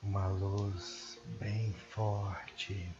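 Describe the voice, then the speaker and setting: A man with a Brazilian accent leads a guided sleep meditation in a soothing, hushed manner with long pauses. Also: on the low side, little pitch movement, dull audio, noisy background. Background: prominent meditation music.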